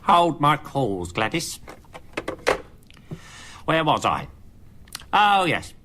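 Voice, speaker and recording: An actress playing a bossy, sarcastic film company executive in a radio comedy, with a scornful, emphatic delivery. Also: female voice, sits low, very animated, drawn-out words, background noise, heavily acted. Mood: scornful, dismissive.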